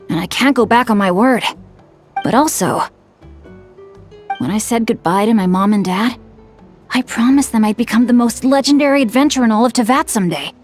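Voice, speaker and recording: A woman's voice: fairly high pitch, natural voice. A woman voicing an earnest, optimistic young boy adventurer in an anime game, speaking sincerely. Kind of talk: scripted anime game voice acting.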